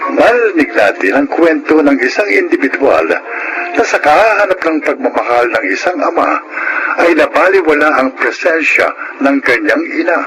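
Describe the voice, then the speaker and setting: A man's voice: on the high side, very animated, moderate pace, over music, rough recording. A Filipino man announcing a radio drama in a formal, scripted style.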